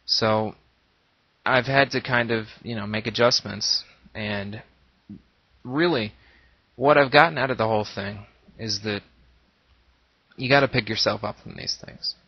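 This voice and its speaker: A man's voice: fairly low pitch, measured pace, long pauses. A man with a slightly nasal voice, as if coming down with a cold, talking earnestly and reflectively in a casual, unscripted monologue as an online gaming video creator. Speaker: YouTube Let's Play creator. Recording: boxy echoing room, dull lo-fi microphone.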